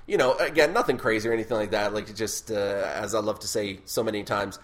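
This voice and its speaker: A man hosting a casual podcast chat, with a loud, booming voice, swearing freely and laughing often. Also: quick pace. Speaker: podcast host.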